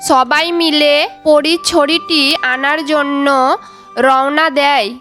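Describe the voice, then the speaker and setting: A woman's voice: sits high, steady tone, takes it slowly, fairly bright tone. A woman narrating a scripted children's fairy tale, with faint music behind her.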